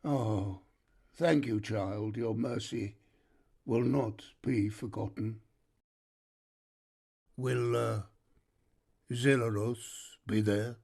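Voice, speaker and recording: An actor playing a grave, humble captive traitor in an animated game cutscene, husky and halting. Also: male voice, lowish voice, heavily acted. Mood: weary, humble.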